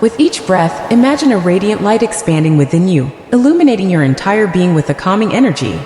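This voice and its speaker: A woman leading a scripted guided meditation in a gentle, soothing, deliberate manner. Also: mid-range voice, big pitch swings, roomy sound, in a large hall, slight background noise.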